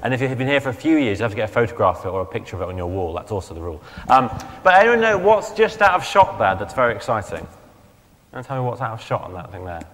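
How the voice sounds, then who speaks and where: Chatty vicar with a strained throat, in a reverberant hall. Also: male voice, mid-range voice, very animated, fast, fairly bright tone. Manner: conversational, chatty, self-correcting. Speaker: vicar preaching. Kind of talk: sermon. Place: church hall.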